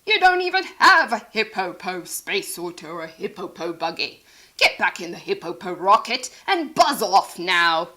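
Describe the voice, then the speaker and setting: Lady doing a booming snobby rich boy hippo from a kids storybook, drawn out and scornful, swoops around. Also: very animated, pushed and bright. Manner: booming, haughty, drawn-out delivery. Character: pompous, rude, rich male hippo astronaut.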